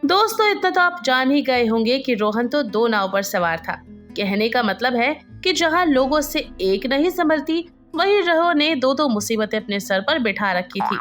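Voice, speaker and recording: A woman's voice: a little high, fairly bright tone. A woman narrating a scripted story as its storyteller.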